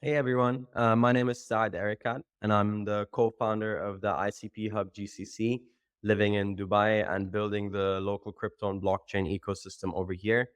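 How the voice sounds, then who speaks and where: Man with a smooth, low, flat voice. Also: normal speed, no room sound. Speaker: podcast guest. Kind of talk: guest self-introduction.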